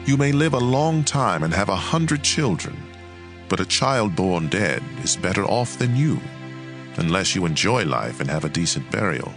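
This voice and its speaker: A man with a very low voice reads scripture as a dramatized audiobook. His delivery is emphatic and measured, moving expressively up and down, with faint music underneath. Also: sing-song.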